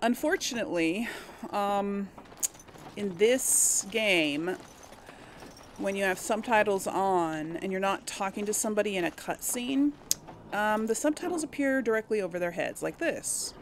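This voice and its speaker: A woman's voice: mid-range voice, a little slow, a little hiss or hum. A woman hosts a casual gameplay video in a mid-range voice. She is chatty and explanatory, correcting herself along the way. Faint game ambience sits behind her. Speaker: let's play host.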